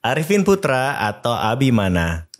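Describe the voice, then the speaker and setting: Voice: medium pitch, big pitch swings, normal speed. A podcast host in casual interview chat, agreeing often, echoing the guest's words and mixing in some English.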